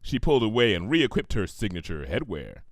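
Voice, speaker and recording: A man reading a scripted story as narrator in an expressive storytelling manner. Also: fairly low pitch, a little fast, dry recording, studio quality. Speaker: fan fiction reader.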